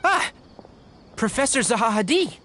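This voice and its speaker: A man's voice: extremely high pitch, sing-song, normal speed, pushed and bright, heavily acted. A man playing an earnest, capable young scholar in a video game, polite, articulate and purposeful. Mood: polite, urgent.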